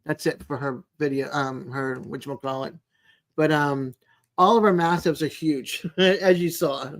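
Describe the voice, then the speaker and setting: A woman in her sixties chatting casually as a live stream host, in a rambling, chatty way with frequent pauses. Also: deep voice, fairly even intonation. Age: 60s. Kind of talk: casual chat with live viewers.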